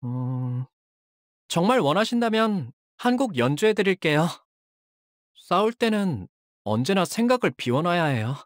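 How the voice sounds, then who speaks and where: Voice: mid-range voice, sing-song, measured pace, no room sound. A young guy fighter from a video game, polite n dramatic, voice moves up and down a lot, super dry recording. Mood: polite, upbeat. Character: earnest, anxious young man, a devoted shielding fighter; tormented young male zealot who purifies with fire. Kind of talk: video game character voice lines.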